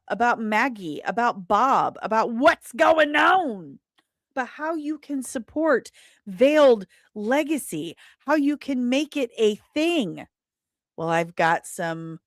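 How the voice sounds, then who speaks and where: A woman chats casually to her livestream viewers in a somewhat high voice. She takes her time, speaking slowly with playful emphasis. The sound is dry, with very little room in it. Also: fairly bright tone, no room sound. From Britain. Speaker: livestream host and comic book writer.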